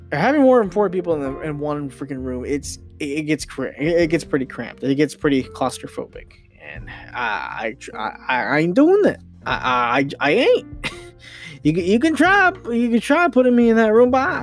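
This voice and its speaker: A man's voice: a little high, sing-song, normal speed, soft background music. A young man hosting a solo podcast, ranting casually with many restarts and mild swearing. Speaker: podcast host.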